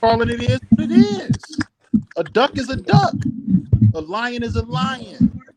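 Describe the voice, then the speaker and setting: A man's voice: extremely high pitch, sing-song, a little slow, very noisy. A man in casual podcast chat, explaining his point animatedly with examples.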